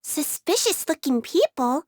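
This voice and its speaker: Very high, naive little creature. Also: female voice, big pitch swings, measured pace, a little mellow, no room sound, clean studio sound. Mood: puzzled. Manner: innocent, hesitant, exclaiming. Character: small cheerful naive childlike female creature, trusting and forgetful. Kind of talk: video game character acting.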